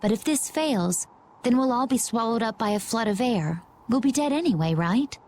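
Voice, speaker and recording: A woman's voice: fairly high pitch, lively intonation, normal speed, darkish tone. A woman voicing a poised, cool and playful young fighter in an anime-style game, composed in delivery.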